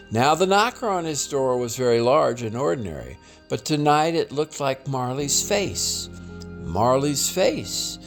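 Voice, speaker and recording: A man reading a classic tale aloud as scripted narration, theatrical and deliberate in his delivery. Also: on the high side. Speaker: storybook reader.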